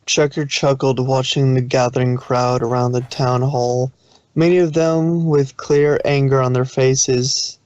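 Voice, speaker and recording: A man's voice: medium pitch, little pitch movement, measured pace, a little mellow, no echo, highs cut off. A man reading scripted fan fiction as the narrator of a dramatic story.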